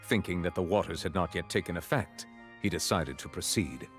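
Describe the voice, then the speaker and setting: An actor playing a formal, authoritative chief justice in a video game, speaking precisely and courteously. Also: male voice, low-pitched, fairly quick, music in the background, lightly acted. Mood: solemn, explanatory.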